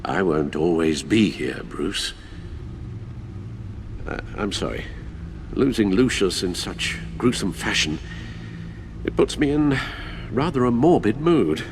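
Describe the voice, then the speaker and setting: An elderly British butler, proper and dutiful, with a low voice that moves expressively. His speech is formal and fatherly, in dramatic video game style over faint noise. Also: very animated, slow, pauses often, heavily acted. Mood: mournful. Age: older.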